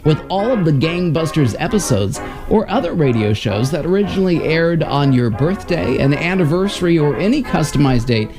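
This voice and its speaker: A man hosting a show, reading a scripted introduction and promotion. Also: a little high. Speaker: show host.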